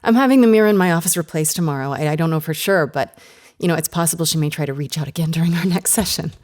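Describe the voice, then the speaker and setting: A woman's voice: deep voice, fast talker, darkish tone, close to an everyday voice. A woman playing an earnest, strong-willed therapist in an audio drama, speaking articulately and expressively. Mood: eager.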